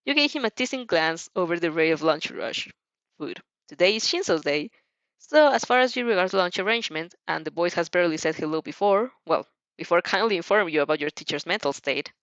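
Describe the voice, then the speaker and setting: A woman reading fan fiction aloud expressively, in an animated amateur way, stumbling over and repeating words. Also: on the low side, fairly quick, no echo, muffled recording.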